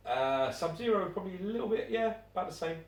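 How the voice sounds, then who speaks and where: A man's voice: high voice, expressive, quick pace, noisy background. A man reviewing collectible statues in casual chat, blunt and swearing casually. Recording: echoey room, mic at a distance.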